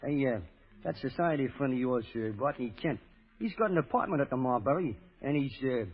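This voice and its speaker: A nervous, deferential mob yes-man, medium-pitched and hesitant. The recording is a muffled old radio drama. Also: expressive, brisk, slightly rough. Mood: eager, uneasy. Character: nervous, agreeable male gangster yes-man. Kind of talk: 1930s radio acting. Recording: muffled vintage radio broadcast.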